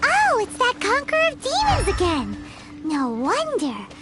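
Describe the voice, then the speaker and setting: A tiny floating fairy sidekick with a very high, bouncy voice that leaps all over the place. She talks about herself in the third person, eager and nosy, drawing her words out slowly. Game music plays clearly behind her. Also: wildly swooping pitch, heavily acted. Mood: curious. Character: tiny chatty floating fairy companion, excitable. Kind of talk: video game cutscene voice acting.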